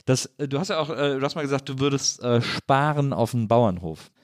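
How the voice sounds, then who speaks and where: A man's voice: mid-range voice, lively intonation, brisk, dry recording, studio quality. A man hosting a casual conversational interview, speaking informally and reflectively, feeling his way through sentences. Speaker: podcast host.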